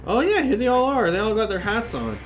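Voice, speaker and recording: A woman's voice: on the high side, sing-song, fairly quick, rough recording. A woman giving casual, slangy commentary while playing a video game. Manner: slangy, informal reactions. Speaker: gameplay streamer. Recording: game music behind the voice.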